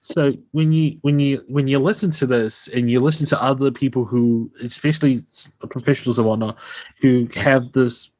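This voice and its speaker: A man's voice: medium pitch, fairly even intonation, moderate pace, darkish tone, no room sound, rough recording. Effusive New Zealand man hosting a podcast. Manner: effusive, appreciative. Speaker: podcast host interviewer. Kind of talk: casual podcast chat.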